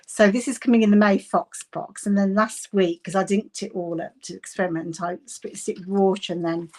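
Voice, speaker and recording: A woman's voice: mid-range voice, fairly even intonation, moderate pace, a little mellow. A woman with a Bristolian accent chats her way through a live crafting session. She giggles often and greets her viewers by name. She is recorded on a phone set on her desk at home. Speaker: craft livestream host. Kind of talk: casual live craft chat. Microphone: phone camera on desk.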